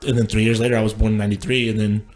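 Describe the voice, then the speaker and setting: A man's voice: lowish voice, little pitch movement, rapid-fire, darkish tone. A man in his late twenties from Texas, telling his life story as a podcast guest in a loose, rambling way, with lots of fillers and casual swearing. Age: late 20s. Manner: loose and profane, lots of "like" and restarts.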